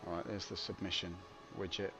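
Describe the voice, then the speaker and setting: A man's voice: low-pitched, little pitch movement, a little fast. A man streaming live gameplay, grumbling wryly and poking fun at himself as he plays. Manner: grumbling, wry and self-mocking. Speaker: game streamer. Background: game music.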